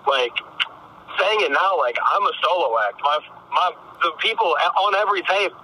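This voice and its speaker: High, very bright-voiced man, slangy. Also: moderate pace, slight background noise, poor audio. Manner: blunt, slangy, swearing. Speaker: music artist, interview guest. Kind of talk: casual interview chat. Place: in a car while driving.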